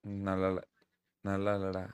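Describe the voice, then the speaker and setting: A man hosting a casual gaming live stream, excitable and given to repeating himself. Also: sits low, moderate pace, clear, resonant tone.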